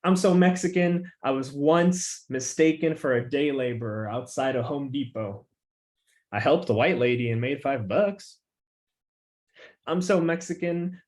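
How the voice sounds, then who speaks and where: A young man, a featured poet, who talks in a relaxed, loose way with plenty of filler words and reads his poems with an emphatic, rising refrain. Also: mid-range voice. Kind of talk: casual chat and poetry reading.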